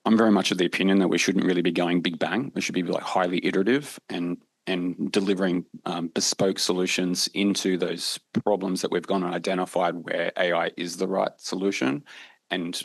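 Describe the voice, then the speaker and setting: A very deep, gravelly-voiced man answers on a tech panel in a flat, even tone. He talks haltingly, full of fillers and jargon, and the sound is dry and close. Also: no room sound. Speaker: panelist, tech company representative.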